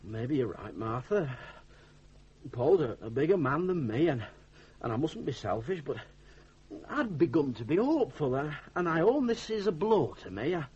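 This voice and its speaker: An actor playing a humble, soft, bumbling grown son in a radio drama, with a hesitant, apologetic Northern English delivery. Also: male voice, fairly high pitch, sing-song, moderate pace, husky, exaggerated voice. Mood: meek, resigned. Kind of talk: 1980s radio drama acting. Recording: dull lo-fi old radio recording.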